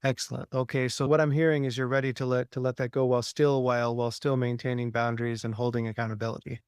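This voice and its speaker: A man working as a healing practitioner, guiding a client with questions and affirmations in a halting, checking-back way. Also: medium pitch, little pitch movement, clear, resonant tone, no echo, clean studio sound. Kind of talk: guided session, gentle questioning.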